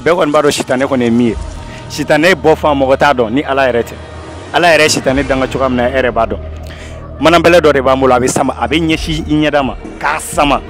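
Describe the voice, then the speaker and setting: A man's voice: medium pitch, fairly quick. A young man hosting a casual street game outdoors, repeating phrases for emphasis. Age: young adult. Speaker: street interview host. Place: outdoors in urban courtyards and streets. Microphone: small handheld lapel mic. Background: music.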